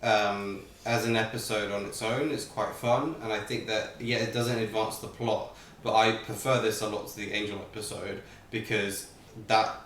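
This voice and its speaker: Low-voiced hesitant man, roomy sound. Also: fairly bright tone. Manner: hesitant, measured. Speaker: reaction show co-host. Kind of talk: casual chat reviewing an episode. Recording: roomy sound, distant mic, faint noise.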